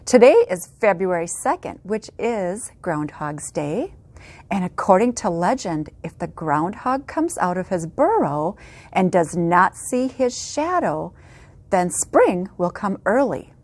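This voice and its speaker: A woman librarian reading picture books aloud for children's story time, animated, with whispered asides. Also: on the low side, slow.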